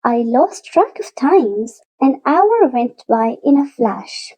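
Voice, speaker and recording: A woman reading a children's picture book aloud in an expressive storytelling manner, with deliberate, drawn-out emphasis. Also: sits high. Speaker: storybook read-aloud narrator.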